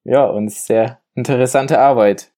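A man's voice: medium pitch, steady tone, normal speed, dark tone. A young man of nineteen introducing himself casually as a guest in an interview. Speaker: panel guest, city councillor.

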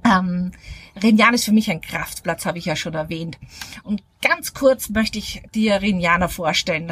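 Woman, podcast host, breathy voice, chatty, enthusiastic, recorded outdoors. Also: medium pitch, fairly bright tone. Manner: chatty, enthusiastic, frequent fillers. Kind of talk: casual interview over wine. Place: outdoors at a wine estate.

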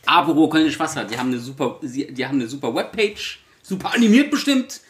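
A man in his late thirties in casual, joking banter, teasing and often halting as he speaks. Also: medium pitch, fairly bright tone. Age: late 30s. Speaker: podcast co-host. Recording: roomy, echoing sound.

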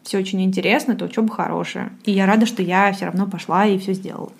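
A woman's voice: mid-range voice, steady tone, fast talker, gravelly, husky. A woman in her late twenties co-hosting a podcast, chatting casually and self-ironically, thinking aloud with fillers. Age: late 20s. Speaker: podcast co-host. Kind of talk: casual chat. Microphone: podcast microphone.

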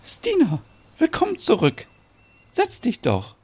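A man voicing an emotional, affectionate older mother with a tender, soft delivery in an audio story. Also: very high-pitched, huge pitch swings, slow pace, husky, pitched above the performer's own voice, full character voice. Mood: welcoming.

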